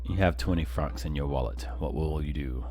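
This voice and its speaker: A man streaming a video game, reading its narration aloud in a hushed, low voice. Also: normal speed, soft background music.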